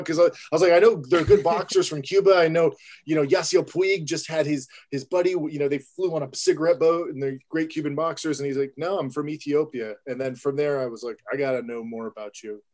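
A man's voice: fairly high pitch, a little fast, a little mellow. A man who is a filmmaker and guest, telling a story with enthusiasm and animation. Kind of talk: casual storytelling in an interview.

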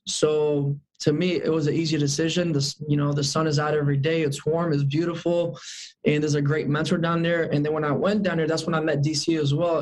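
A man's voice: on the high side, darkish tone, rough recording. A man of thirty, a sales manager as guest, talking about his goals in an upbeat, emphatic way and repeating phrases for emphasis. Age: 30s. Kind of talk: casual interview chat.

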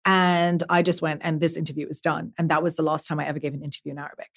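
Low-voiced woman, halting and thoughtful. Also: little pitch movement, gravelly. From half Emirati. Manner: hesitant, many fillers and restarts. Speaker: guest, artist. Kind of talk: podcast conversation.

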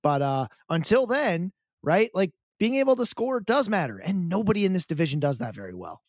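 A man co-hosting a sports podcast who talks in a chatty, animated way, often repeating words and restarting sentences. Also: sits high, brisk, no room sound, clean studio sound. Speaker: podcast co-host. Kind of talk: casual sports chat.

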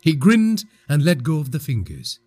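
A man from India narrating a scripted horror story in a husky voice, with dramatic, suspenseful delivery. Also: on the high side, sing-song, moderate pace, music in the background.

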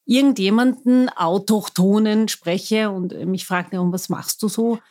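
A woman's voice: medium pitch, a little slow, a little mellow. A young woman, a podcast host and teacher, speaking in a playfully strict, teasing tone. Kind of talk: casual podcast conversation.

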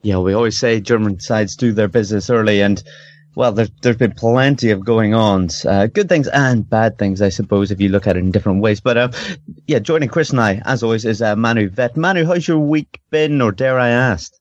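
A man's voice: fairly low pitch, brisk, no room sound. A man hosting a football podcast in casual chat, hesitant with many ums and restarts. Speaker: podcast host. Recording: dull lo-fi podcast audio.

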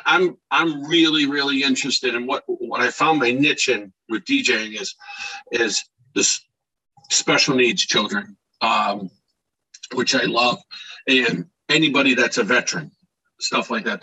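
Husky, bright-voiced man in his late fifties. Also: mid-range voice, rough recording. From Scranton, Pennsylvania, United States. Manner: rambling, repeats words, enthusiastic. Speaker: podcast guest, DJ. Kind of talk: casual interview chat.

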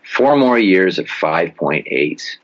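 A man's voice: low voice, big pitch swings, takes it slowly. A man giving emphatic, punchy sports commentary in a casual style, as a guest on a talk show. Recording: dull lo-fi sound.

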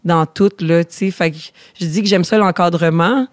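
A woman's voice: lowish voice, normal speed, no room sound, studio quality. A woman in her thirties with a Québécois French accent, a comedian chatting as a podcast guest, self-deprecating, with many fillers and restarts. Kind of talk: casual podcast conversation.

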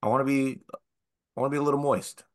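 A man's voice: mid-range voice, fairly even intonation, fairly quick. A man co-hosting a casual sports podcast, stuffed up with the sniffles, sounding nasal. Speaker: podcast co-host.

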